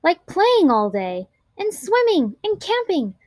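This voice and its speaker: A woman voicing a kind, patient schoolteacher who gently reassures and encourages her young class in a children's storybook. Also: extremely high pitch, huge pitch swings, takes it slowly, no room sound, pitched above the performer's own voice, heavily acted. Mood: gentle, reassuring. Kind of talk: children's storybook read-aloud.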